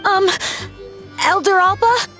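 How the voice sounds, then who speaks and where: A woman playing a timid, flustered young tribe messenger in a video game, hesitant and halting. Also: very high-pitched, bright, forceful tone, full character voice. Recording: music playing behind the voice.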